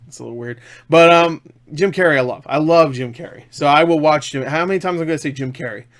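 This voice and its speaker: A man with a fairly high voice talks to camera as an online movie reviewer. He is enthusiastic and jokey, rambling casually and breaking off into small asides as he goes. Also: fast, a bit bright. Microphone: webcam setup.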